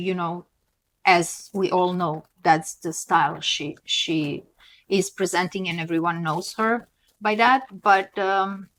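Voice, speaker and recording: A woman's voice: medium pitch, a bit bright, low-quality recording. A woman from Serbia, a podcast co-host and former model, telling candid stories in a chatty way with many fillers and restarts. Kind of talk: casual chat.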